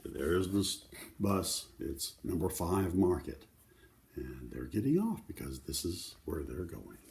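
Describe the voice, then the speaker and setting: A man's voice: deep voice, sing-song, measured pace, gravelly, a little mellow, a little hiss or hum. An older man reading a children's book aloud, adding simple conversational asides to explain the pictures. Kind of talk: read-aloud storytelling with informal asides to children.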